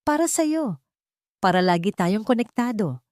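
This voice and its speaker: A possessive Filipino groom, voiced by a woman narrator in an audio storybook. He speaks with measured control and a pointed edge, in a dry, close recording. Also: medium pitch, sing-song, a little mellow, dry recording, pitched above the performer's own voice. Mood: tender, controlling. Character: possessive, controlling wealthy groom, outwardly tender.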